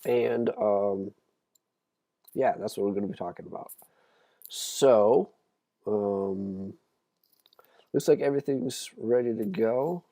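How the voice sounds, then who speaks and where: A man with a croaky voice giving a casual livestreamed music theory lesson as a guitar teacher, often checking in with "okay?". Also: lowish voice, dark tone, no echo.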